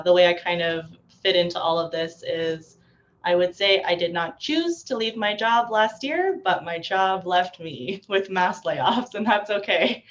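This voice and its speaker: A woman hosting an online panel discussion over a video call, friendly and quick to laugh. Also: on the low side, highs cut off, a little hiss or hum. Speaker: panel host.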